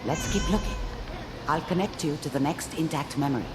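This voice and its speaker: A composed, clinical doctor with a low voice, guiding a procedure in a video game. The voice echoes under loud background noise. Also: female voice, expressive, brisk. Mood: calm, focused. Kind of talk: dramatic game voice acting. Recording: echoey, distant, very noisy ambience.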